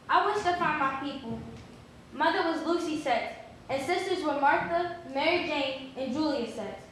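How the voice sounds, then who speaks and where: A woman plays a freedwoman hoping to find her family, read as stage drama. Her high voice carries strong hall echo and a little background noise. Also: fairly bright tone. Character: formerly enslaved woman wishing to find her people. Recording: very echoey hall, faint noise.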